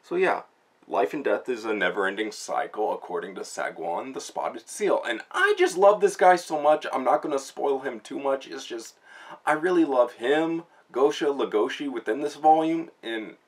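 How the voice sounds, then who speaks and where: A man giving a casual, rambling review in a chatty vlog style, speaking fondly and enthusiastically about a story. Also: mid-range voice. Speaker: book and manga reviewer.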